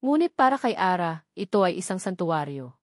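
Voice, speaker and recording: A woman reading a dramatic story in measured, scripted narration. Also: fairly low pitch, very animated, dry recording, clean studio sound.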